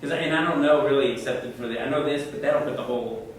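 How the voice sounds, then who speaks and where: An echoey, roomy recording of a man explaining tech tips to a group, caught from well back with a faint hiss underneath. He talks in a loose, halting way and doubles up words as he thinks. His pitch sits right in the middle for a man. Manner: conversational, halting with repeated words. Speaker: presenter at a computer user group. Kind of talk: informal tech tips talk answering questions. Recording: echoey room, mic far off.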